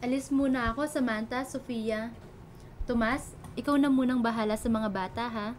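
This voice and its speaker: A woman's voice: on the high side, normal speed, a little hiss or hum, close to an everyday voice. A woman playing a protective, loving, humble mother in a radio drama. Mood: friendly, modest. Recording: music bed behind the voice.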